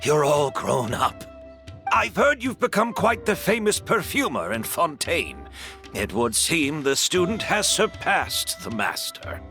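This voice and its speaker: A man's voice: fairly high pitch, sing-song, measured pace, pushed and bright, exaggerated voice. An actor playing a kindly, humble older male perfumer and mentor in a video game, modest and thoughtful. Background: game background music.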